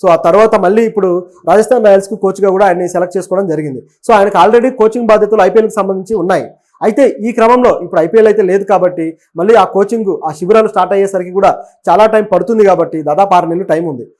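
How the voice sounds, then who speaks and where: A man presents sports and political news in a conversational way. His voice sits high and he talks quickly. He explains as he goes, gives his opinions and drops in English words. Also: a little mellow. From Telugu states of southern India. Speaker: web news presenter and commentator.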